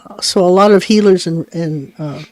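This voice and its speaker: A chatty woman co-host who keeps cutting in with quick asides, quiet mm-hmms and short laughs. She talks in a loose, conversational way on a live-stream studio mic. The sound is dry and close, with no room echo. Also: on the low side. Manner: chatty, jumps in, frequent mm-hmms and light laughs. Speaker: co-host of a psychic call-in radio show. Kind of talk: casual chat.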